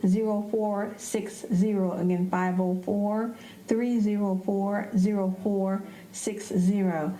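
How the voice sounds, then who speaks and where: A woman's voice: mid-range voice, fairly even intonation, takes it slowly, mellow. A middle-aged woman, a stage director, chatting casually as an interview guest. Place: indoors at a theater. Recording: echoey room.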